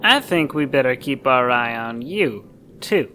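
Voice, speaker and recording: A man's voice: medium pitch, animated, unhurried, sharp tone, soft background music. A man playing a belligerent, suspicious neighbor turned vigilante in a radio play, with folksy, accusing speech.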